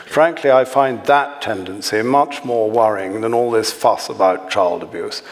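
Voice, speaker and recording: An English man voicing a world-weary, obsequious, pompous upper-class gentleman in a satirical novel. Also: on the low side, unhurried. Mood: sardonic. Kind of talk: satirical novel read aloud. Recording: echoey hall, clear background noise.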